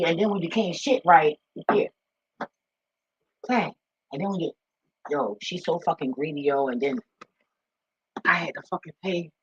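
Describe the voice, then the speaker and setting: A man with a husky voice talking casually and off the cuff, blunt and profane with streetwise slang, like an online spiritual commentator. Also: a bit bright, slight background noise. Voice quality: husky, deep voice. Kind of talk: casual, unscripted rambling talk. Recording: roomy, dull lo-fi home recording.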